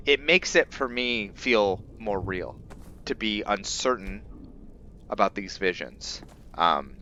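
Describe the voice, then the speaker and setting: Male let's play host, lowish voice, unhurried and halting, casual commentary over faint background noise. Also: bright, forceful tone, dull audio. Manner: halting, thinking aloud. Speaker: gamer hosting a roleplay let's play. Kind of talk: casual game commentary with character lore.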